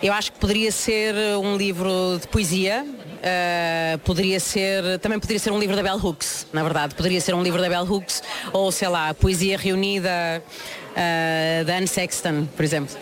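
A woman's voice: fairly low pitch, fairly even intonation, moderate pace. A woman, a book fair programmer giving fluent, reflective answers in a radio interview at an outdoor fair.

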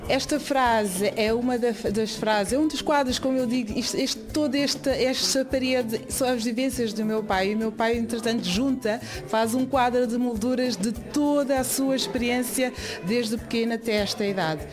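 A woman's voice: on the high side, normal speed, music in the background. A young woman from Guinea-Bissau explaining things informally as an association volunteer, often repeating phrases for emphasis. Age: young adult. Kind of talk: interview, informal guided explanation.

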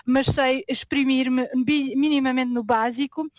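High-voiced woman with a European Portuguese accent. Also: steady tone, unhurried, dry recording, studio quality. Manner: earnest, self-correcting mid-word. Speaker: interview guest. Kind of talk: conversational interview.

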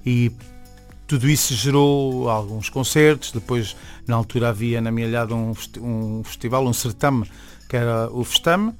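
A man's voice: medium pitch, normal speed, clear, resonant tone. A young man with a Venezuelan-tinged Beira Portuguese accent, a musician and barber talking as an interview guest, hesitant with many restarts. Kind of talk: casual interview talk. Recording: faint music behind.

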